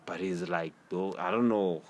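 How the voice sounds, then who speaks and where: A young South African man with a South African accent, hosting a podcast and talking in a relaxed, rambling way full of slang. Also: low-pitched, moderate pace. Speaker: podcast host. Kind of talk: casual interview chat. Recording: faint background noise.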